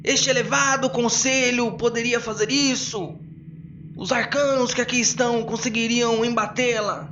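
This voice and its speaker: Homem fazendo um arcano de voz aguda, questionador. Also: male voice, very high-pitched, fairly even intonation, normal speed, sharp tone, highs cut off, slight background noise, pitched above the performer's own voice. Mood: worried, questioning. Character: anxious questioning council member, arcane scholar.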